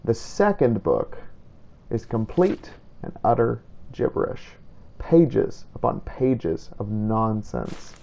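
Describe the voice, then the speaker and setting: A man narrating a video game playthrough in character, telling it as a first-person story in a measured way. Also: mid-range voice, very animated, vocal fry, mellow.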